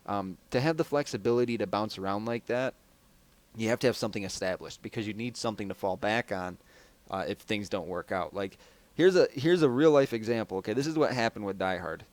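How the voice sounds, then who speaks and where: A man with a low, raspy baritone voice hosting a podcast, chatting loosely as he answers listener questions over a headset mic. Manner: loose, rambling delivery. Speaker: podcast host.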